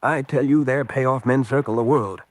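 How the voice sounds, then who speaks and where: A man's voice: medium pitch, moderate pace, no room sound, close to an everyday voice. A desperate spy on the run, played by a male actor in a radio drama. He is a hunted American man who speaks with urgent, dramatic intensity, as if begging to be believed. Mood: insistent.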